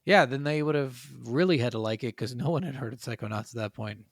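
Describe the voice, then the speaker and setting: A man's voice: mid-range voice, quick pace, no room sound, clean studio sound. A man co-hosting a gaming podcast, chatting informally and swearing casually. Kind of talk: casual chat about video games.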